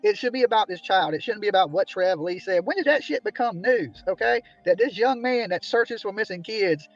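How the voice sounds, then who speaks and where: A man's voice: high-pitched, lively intonation, fairly quick, dull audio, soft background music. A man ranting indignantly on a livestream from a small room, repeatedly asking "right?" as he complains. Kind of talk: heated livestream commentary.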